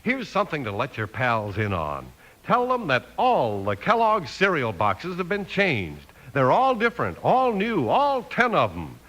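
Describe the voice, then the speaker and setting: Lively American radio announcer man. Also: on the high side, unhurried, rough recording. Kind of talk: 1950s radio announcing, scripted. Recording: hissy old radio recording.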